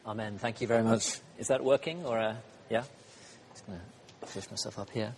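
A slightly hoarse man gives a sermon as guest speaker, chatty and self-deprecating, with frequent ums and uhs. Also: on the low side, fairly even intonation, brisk, slight background noise. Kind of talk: sermon with light humor. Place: church hall. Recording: church hall reverb.

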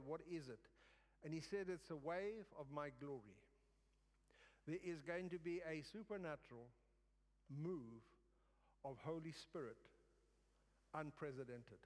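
A male preacher with a slightly high voice delivers a sermon in a reverberant hall. He moves haltingly through his thoughts, often repeating a phrase to drive it home. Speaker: guest preacher. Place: church service in a large room.

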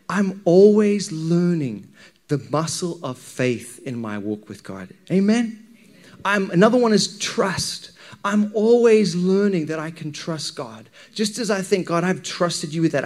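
A man's voice: on the high side, a little mellow. A man with a Zimbabwean accent preaching a sermon to a congregation in a conversational, questioning manner. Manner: conversational, engages listeners with questions and anecdotes.

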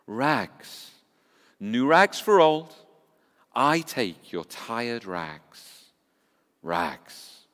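A man voicing a strong young ragman in a story, calling out his wares in a clear, drawn-out street cry in an echoing hall. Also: medium pitch, sing-song, fairly bright tone, full character voice. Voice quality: clear, ringing call. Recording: echoey hall with long reverb.

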